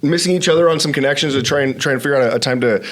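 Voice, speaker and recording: A man's voice: mid-range voice, racing, a bit bright. A man hosting a conversational interview, often repeating and restarting his words. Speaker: podcast host.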